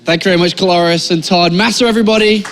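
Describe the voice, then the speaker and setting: A man's voice: high voice, steady tone, very fast, long reverb tail, noisy background. A man interviewing a player after a match, asking upbeat, rambling questions.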